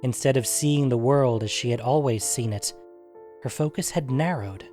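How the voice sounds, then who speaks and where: Measured male storyteller, medium pitch. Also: mellow, faint music behind. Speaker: narrator and host of an audio fiction show. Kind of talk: scripted dramatic story narration.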